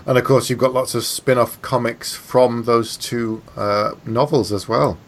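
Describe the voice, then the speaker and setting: A man's voice: medium pitch, steady tone, unhurried. A man hosting a podcast, introducing the format and putting questions to a guest. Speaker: podcast host and interviewer.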